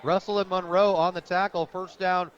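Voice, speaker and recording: High-voiced American man calling football, lo-fi radio. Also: flat delivery, normal speed. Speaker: radio play-by-play announcer. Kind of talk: live American football play-by-play commentary. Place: outdoors at a football stadium. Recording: dull lo-fi outdoor radio broadcast.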